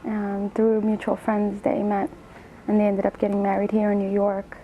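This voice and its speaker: A woman's voice: medium pitch, monotone, moderate pace, narrow, tinny audio, a little hiss or hum. A woman from Colombia giving a plain, matter-of-fact account of her family in an oral history interview.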